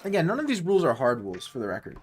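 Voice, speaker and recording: A man's voice: fairly high pitch, wildly swooping pitch, racing, darkish tone, soft background music. A man streaming live and chatting casually with viewers, rambling and correcting himself mid-sentence with nerdy enthusiasm. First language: English.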